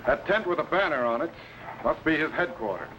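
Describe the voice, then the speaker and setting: A man playing a brisk space captain giving orders. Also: on the high side, lively intonation, muffled recording, noisy background, lightly acted. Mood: commanding. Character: brisk commanding adult male time-travel captain. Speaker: lead of the series. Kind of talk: 1950s TV serial acting.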